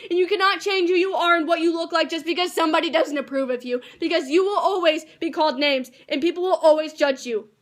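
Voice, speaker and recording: A high, youthful female voice, a girl in her teens, performs slam poetry at a rapid pace. She delivers it like a rehearsed piece, earnest and emphatic, as an amateur poet would.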